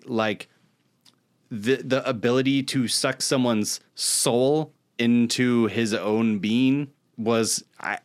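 A man co-hosting a podcast, chatting casually about pop culture in an enthusiastic, opinionated way. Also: mid-range voice. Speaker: podcast co-host.

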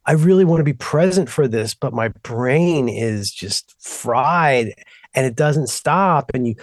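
A middle-aged man co-hosting a podcast, telling long digressive stories and breaking off to restart his sentences. His pitch swings widely as he talks. The sound is close and dry, with no room echo. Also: measured pace. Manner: rambling, self-interrupting, animated storytelling. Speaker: podcast co-host. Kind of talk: casual chat.

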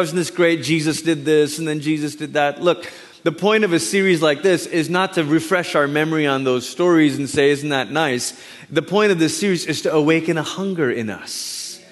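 A man who grew up in Malaysia speaks as a guest preacher in a church sanctuary. His talk is conversational and warm, full of friendly asides to the congregation. The sound rings with long hall reverb. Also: fairly high pitch. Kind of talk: sermon introduction.

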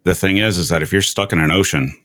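A man hosting a casual science interview, musing aloud in a measured way. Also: low voice, a bit bright, dry recording. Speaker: show host, interviewer.

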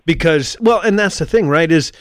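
A middle-aged American man hosting a casual conversational interview, thinking aloud in a wordy, halting way and often rephrasing. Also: fairly high pitch, expressive, no echo, studio quality. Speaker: podcast host.

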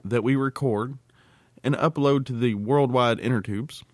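A man's voice: mid-range voice, unhurried, no room sound, studio quality. An American man co-hosting a casual podcast, talking in a blunt, crude, colloquial way. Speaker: podcast co-host.

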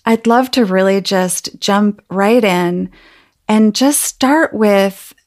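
A woman hosting a podcast interview, earnest and unhurried, with frequent fillers. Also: mid-range voice, a little mellow. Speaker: podcast host.

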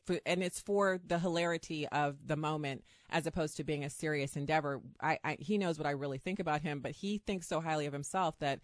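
A woman's voice: low voice, dry recording. A middle-aged woman hosting a podcast, chatting casually and conversationally with a guest. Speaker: podcast host. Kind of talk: casual interview chat.

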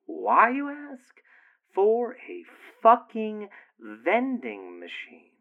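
Man playing a rambling, swearing boyfriend; gravelly voice, tinny phone sound. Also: high voice, huge pitch swings, extremely slow, heavily acted. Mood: ranting. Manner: profane, rambling, laughing and exasperated. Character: crude, easygoing boyfriend ranting to a friend. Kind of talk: audio drama acting.

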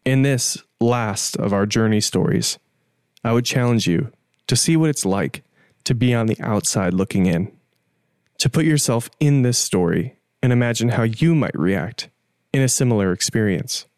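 A man narrating a church testimony video and interviewing a member of the church community in an earnest, measured way. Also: medium pitch, a little mellow, dry recording, clean studio sound.

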